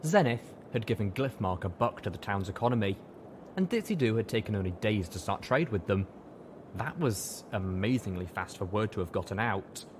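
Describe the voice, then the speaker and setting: A man's voice: fairly low pitch, animated, normal speed, noisy background. A man reading a scripted story aloud as an audiobook narrator. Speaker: audiobook reader.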